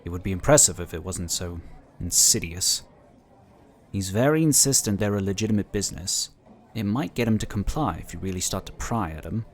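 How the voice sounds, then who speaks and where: Sci-fi audio drama inquisitor, played by a man: lowish male voice, measured, dryly understated, protective and cautious. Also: animated, slight background noise. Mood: wry, informative.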